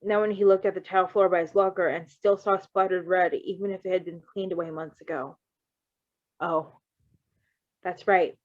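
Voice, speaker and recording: Woman reading fanfic out loud, really into it, chatty asides, super dry slightly muffled audio. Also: fairly low pitch, normal speed, dry recording. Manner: expressive, emotionally invested. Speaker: fan fiction reader. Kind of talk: story reading with casual asides.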